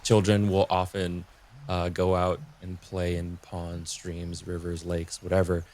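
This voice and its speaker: A man explaining folklore in casual conversation as a podcast guest, haltingly rephrasing and repeating himself as he goes. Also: low voice, completely flat delivery, a little mellow, a little hiss or hum.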